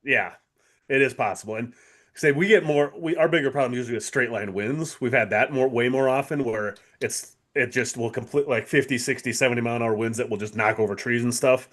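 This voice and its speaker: A man's voice: mid-range voice, a little fast, fairly bright tone. A man with an American accent chatting casually as a podcast co-host.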